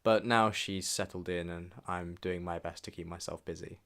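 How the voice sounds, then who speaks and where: A man's voice: deep voice, fairly even intonation, moderate pace, a bit bright. A young man reading a scripted voice-over that looks back on his own year, in the manner of a personal vlog. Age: young adult. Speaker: vlogger narrating own year in review. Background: background music.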